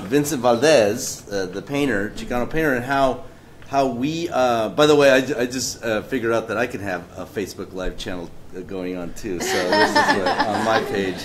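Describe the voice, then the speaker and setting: A man on a live panel who speaks in a relaxed, anecdotal way, with hesitations. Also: medium pitch, normal speed, noisy background. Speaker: panelist, cartoonist. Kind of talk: casual panel talk. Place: event room at a museum.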